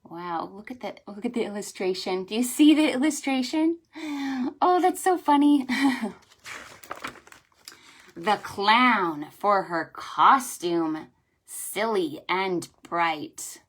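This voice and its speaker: A woman leading a children's storytime, speaking in an animated sing-song way with exclamations and questions for young listeners. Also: medium pitch, slow pace, pauses often. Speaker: children's librarian hosting storytime. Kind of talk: children's storytime with read-aloud and pretend play.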